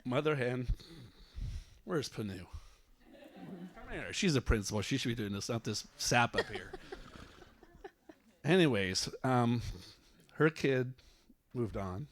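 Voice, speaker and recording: A man's voice: mid-range voice, animated, slow pace, long pauses. Choked-up man giving an informal speech. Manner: self-deprecating, joking. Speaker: elementary school teacher. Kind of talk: informal emotional award speech.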